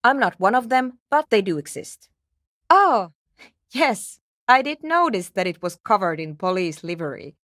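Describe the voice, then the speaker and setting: A woman's voice: medium pitch, big pitch swings, moderate pace, fairly bright tone, no room sound. A woman playing a frank, pragmatic female engineer in a video game, speaking in a dry, matter-of-fact and precise way. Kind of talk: scripted video game character dialogue.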